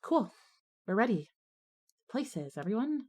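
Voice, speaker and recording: A woman's voice: a little high, sing-song, a little slow, a little mellow. A woman playing a resourceful, take-charge schoolgirl who both narrates and speaks in an audio drama. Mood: decisive. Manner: decisive, organizing. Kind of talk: audio drama dialogue and first-person narration.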